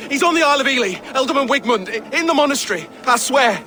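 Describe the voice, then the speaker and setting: An actor playing a pious, defiant Saxon soldier held captive in a video game, indignant and overwrought. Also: male voice, very high-pitched, animated, quick pace, pushed and bright, exaggerated voice. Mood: terrified pleading. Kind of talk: video game character acting.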